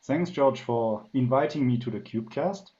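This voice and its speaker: A man's voice: mid-range voice, lively intonation, moderate pace, warm tone, lo-fi sound. A man from France with a French accent tinged by years in Germany, a guest consultant explaining things thoughtfully with many "um"s in a business podcast interview. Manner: thoughtful, filler-heavy explanations.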